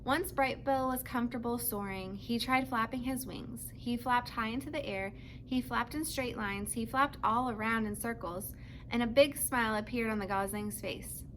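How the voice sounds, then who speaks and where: High-voiced woman reading a storybook aloud. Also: moderate pace, fairly bright tone, slight background noise, rough recording. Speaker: storybook reader. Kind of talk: children's book read-aloud narration.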